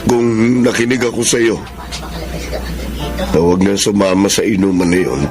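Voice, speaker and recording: A deep-voiced, remorseful husband and father behind bars, acted by a man in a radio drama. Music plays clearly behind his earnest lines. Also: moderate pace, natural voice. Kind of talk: Tagalog radio drama acting.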